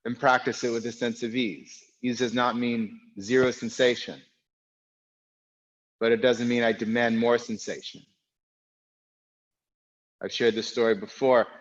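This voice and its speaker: A man guiding an online yoga class, with a loud, strong voice, calm and reflective, speaking in unhurried phrases with pauses. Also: mid-range voice, muffled recording. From United States. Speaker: yoga teacher. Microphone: recorded for an online class video.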